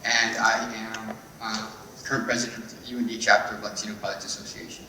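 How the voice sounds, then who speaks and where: A young man from California, a student panelist, introducing himself briskly. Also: fairly low pitch, flat delivery, background noise. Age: young adult. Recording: distant mic in echoey hall.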